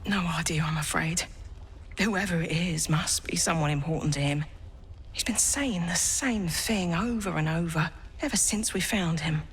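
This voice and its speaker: Sober, low-toned soldier. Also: female voice, normal speed, breathy edge. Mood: concerned, helpful. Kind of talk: video game voice acting.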